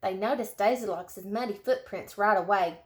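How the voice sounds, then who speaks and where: A woman reading a children's storybook as narrator, expressively and with dramatic, drawn-out emphasis. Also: medium pitch, echoey room.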